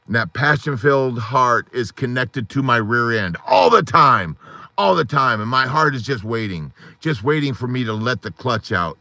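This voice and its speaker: A man hosting a support group live stream, giving a fervent, rambling pep talk full of metaphors, his voice hoarse, from inside a parked car at night on a phone. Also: medium pitch, animated, moderate pace, pushed and bright, no echo.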